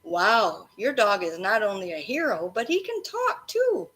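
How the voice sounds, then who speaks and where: A woman teacher reading a storybook aloud to children expressively, pausing to explain and prompt her listeners. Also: medium pitch, wildly swooping pitch, fairly bright tone. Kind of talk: interactive children's read-aloud of a storybook, with questions and asides. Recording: faint background noise.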